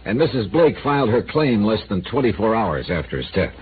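A man's voice: on the low side, sing-song, fairly quick. An actor playing a dry, skeptical male insurance investigator who also narrates, in a 1950s radio drama. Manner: dry, skeptical, probing questions. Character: wry insurance investigator, male, middle-aged. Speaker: narrator and lead. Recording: tinny lo-fi old radio broadcast with hiss.

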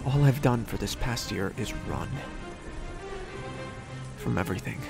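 An earnest young male inventor in a space audio drama, played by an actor. His determined, thoughtful voice has a creaky edge, with music clearly behind it. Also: lowish voice, lively intonation, unhurried. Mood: urgent, determined. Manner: earnest, reflective. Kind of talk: sci-fi audio drama acting.

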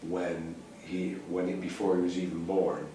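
Brief: young man, very deep monotone voice, blunt and profane, echoey room on a distant camera mic. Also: moderate pace, background noise. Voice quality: very low voice. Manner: blunt, profane, laid-back drawl. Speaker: band member being interviewed. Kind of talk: casual backstage interview. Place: cramped backstage room indoors. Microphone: far camera mic.

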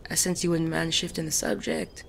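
Gentleman outlaw as acted, male character, wry, teasing, politely worded, gravelly edge, audio drama, faint background noise. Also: mid-range voice, monotone, a little fast, lightly acted. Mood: dry, teasing. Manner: genteel, wry, polite wording. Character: suave, teasing gentleman bandit, adult man.

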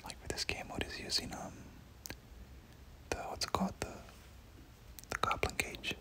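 A whispering game streamer gives ASMR play-by-play in a casual, slangy way. The voice is breathy and unvoiced at a close mic, often repeating little words and phrases. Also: normal speed. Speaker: gaming video host. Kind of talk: whispered ASMR gameplay commentary.

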